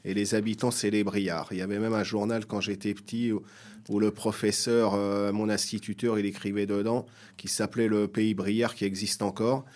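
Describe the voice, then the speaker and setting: A man's voice: on the low side, level tone, normal speed, a little mellow. A middle-aged man from Seine-et-Marne, France, answering as an interview guest, hesitant and often repeating his phrases. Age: 40s or 50s. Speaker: guest writer. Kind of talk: interview answers, personal recollections.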